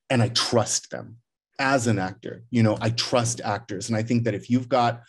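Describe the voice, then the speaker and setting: A man's voice: lowish voice, a little mellow. A middle-aged man, a theater director, talking at home over a video call in a reflective, rambling way with many restarts. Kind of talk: casual video call conversation. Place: living room at home.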